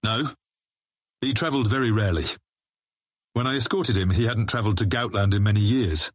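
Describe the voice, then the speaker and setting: Man playing a husky, terse fantasy ranger. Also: fairly low pitch, fairly quick, dry recording, studio quality, natural voice. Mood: terse, grave. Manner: clipped, solemn, formal speech. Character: terse, grave male ranger of a fantasy realm. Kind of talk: dramatic audiobook acting.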